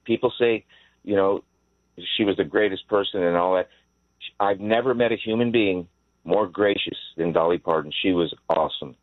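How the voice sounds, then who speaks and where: An American man in his sixties calling a radio show, telling a personal story in an earnest, halting way. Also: low-pitched, dry recording. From Hawaii, United States. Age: 60s. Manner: earnest, halting, repeats words. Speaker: phone-in caller. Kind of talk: casual personal anecdote. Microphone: telephone. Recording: tinny narrow phone line.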